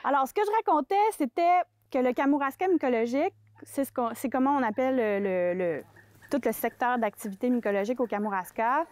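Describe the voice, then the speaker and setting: A woman's voice: sits high, fairly quick, a little mellow, dry recording. A Québécois woman, an expert on the mushroom sector, explaining it in an interview with frequent fillers and restarts. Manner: hesitant, many fillers and restarts.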